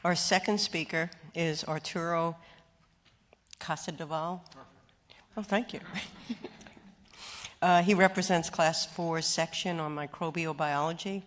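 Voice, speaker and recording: A woman with a very low voice moderates a formal session and introduces speakers briskly, with small stumbles. A long hall reverb trails her words. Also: fairly even intonation, a little hiss or hum. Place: lecture hall.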